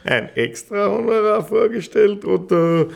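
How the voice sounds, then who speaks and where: An actor playing a jovial, self-important middle-aged small-town mayor in a radio drama, with a pompous, chummy manner. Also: male voice, high voice, lively intonation, measured pace, gravelly, exaggerated voice. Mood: jovial, patronizing.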